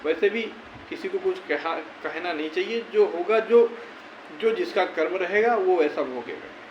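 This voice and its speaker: A man's voice: high voice, unhurried. A man giving an informal explanatory update to viewers, reassuring and advising them with plenty of repetition. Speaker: YouTuber giving recruitment court-case updates. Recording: roomy, dull audio with faint noise.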